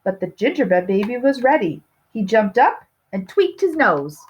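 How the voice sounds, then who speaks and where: Very animated woman reading a children's story. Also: a little high, moderate pace, husky. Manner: expressive, stretching key words, asking questions of young listeners. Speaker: storybook reader. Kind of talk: read-aloud storytelling for young children.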